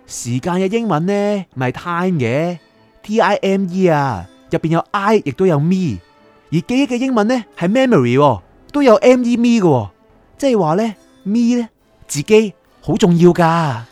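Man acting animated, talkative, curious soul. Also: high voice, normal speed, faint music behind. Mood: explaining. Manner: eager, wheedling, explanatory. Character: curious, talkative bargaining soul of an anime fan. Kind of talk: Cantonese radio drama acting.

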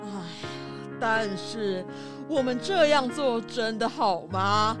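A woman's voice: on the high side, animated, slow. A woman voicing a frail, worried old grandfather who sighs and admonishes in a children's audio drama. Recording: music behind the voice.

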